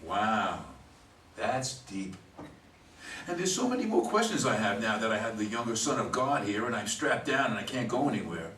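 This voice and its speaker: An actor in a stage play reading, playing a sarcastic, hungover man in his fifties with a halting, wisecracking delivery, recorded roomy and far from the mic. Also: lowish voice, big pitch swings, fairly bright tone. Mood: sardonic. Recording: roomy, distant mic, faint noise.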